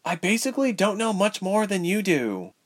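A man's voice: high-pitched, sing-song, normal speed, pitched above the performer's own voice. A man voicing a tough, guarded young woman held hostage in an audiobook story. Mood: firm.

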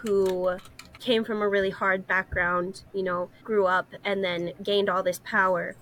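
A woman's voice: mid-range voice, little pitch movement, unhurried, fairly bright tone. A woman co-hosting a podcast, talking through a story in a casual, earnest and emphatic way. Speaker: podcast co-host. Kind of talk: casual discussion, explaining a story.

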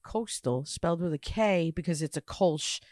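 A woman's voice: lowish voice, normal speed, darkish tone, dry recording, studio quality. A woman co-hosting a casual comedy podcast, wry and opinionated, laughing often. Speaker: podcast co-host.

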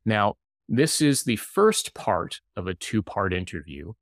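Low-voiced man hosting a podcast. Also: very animated, a little slow, no echo. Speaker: podcast host and intercultural coach. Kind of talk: podcast interview.

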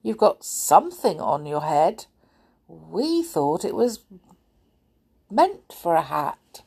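A woman's voice: on the low side, sing-song, slow, creaky, a little mellow, slightly rough, full character voice. A woman voicing a bold, bossy little pixie in a children's storybook, speaking eagerly and with lots of exclamations. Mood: curious.

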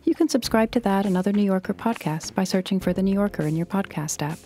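A woman delivers a scripted podcast announcement as its announcer. Her voice is mid-pitched with a very mellow, relaxed tone. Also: fast.

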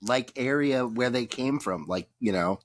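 A man's voice: medium pitch, lively intonation, measured pace, no echo, clean studio sound. A man co-hosting a podcast who asks probing, teasing questions in casual debate. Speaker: podcast co-host.

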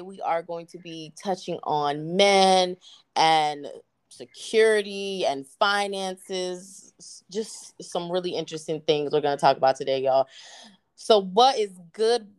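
A woman's voice: lowish voice, measured pace, smooth, dry recording. Effusive young woman podcast host. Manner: lively, slangy and effusive. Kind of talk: casual interview chat.

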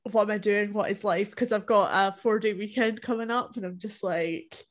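A woman co-hosting a podcast in relaxed, self-mocking chat, laughing often. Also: mid-range voice, moderate pace. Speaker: podcast co-host. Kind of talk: casual chat.

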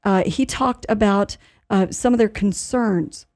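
A woman presents local news on the radio in a conversational manner, feeling her way through phrases. Her tone is mellow and relaxed, and the sound is dry with very little room in it. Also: medium pitch, a little slow, no room sound. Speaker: news host.

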